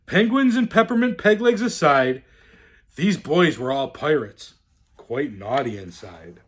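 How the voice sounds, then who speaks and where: A man reading a rhyming children's storybook aloud in a theatrical, deliberate, expressive way. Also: a little high, slightly rough. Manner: theatrical, deliberate, swooping delivery. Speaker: storyteller in the role of Santa.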